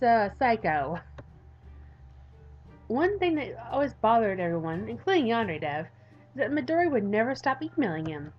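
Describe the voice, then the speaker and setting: A woman's voice: on the high side, dull audio. A woman with a cold giving theatrical, playful let's play commentary as she reads a visual novel aloud. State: has a cold, coughing. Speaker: gaming video host.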